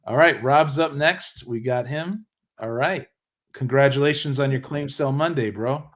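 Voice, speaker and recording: A man co-hosting a casual livestream, reading chat comments, easygoing and quick to laugh. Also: medium pitch.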